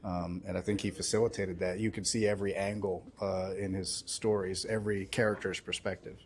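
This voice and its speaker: A man's voice: sits low, flat delivery, fairly quick. A man working as an interviewer, asking conversational questions in an agreeable, affirming, slightly tentative way.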